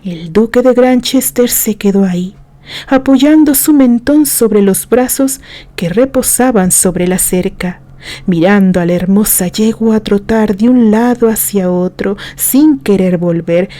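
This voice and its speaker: A woman from Mexico reads a story aloud at an unhurried pace. Her voice is mellow and soft, and she drops to a gentle hush at times. She keeps a calm, scripted storytelling tone throughout. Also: mid-range voice. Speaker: narrator. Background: background music.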